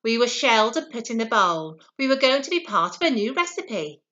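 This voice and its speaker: Woman reading as a bright, lively little storybook pea. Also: a little high, fairly quick, muffled recording. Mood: earnest, confiding. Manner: expressive, confiding storybook narration. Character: small earnest storytelling pea, eager to help. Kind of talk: first-person children's story reading.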